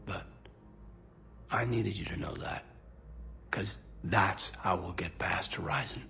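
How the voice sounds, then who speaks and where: A man playing an earnest, soft-spoken, somewhat hesitant space soldier in a video game, speaking intimately. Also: low-pitched, takes it slowly, vocal fry, a little hiss or hum, exaggerated voice. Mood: tender, hesitant. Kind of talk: video game dialogue acting.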